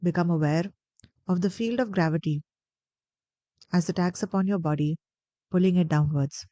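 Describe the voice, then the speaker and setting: Low, mellow woman guiding meditation. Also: no echo, highs cut off. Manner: gentle, deliberate, soothing phrasing with pauses. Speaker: meditation guide. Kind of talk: guided meditation narration.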